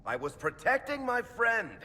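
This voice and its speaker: Casting: indignant, sardonic game rogue, forceful delivery, reverberant hall with some noise. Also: male voice, extremely high pitch, sing-song, measured pace, full character voice. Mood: indignant, defiant. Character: defiant, loyal rogue storyteller in fantasy game. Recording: echoing hall with background noise.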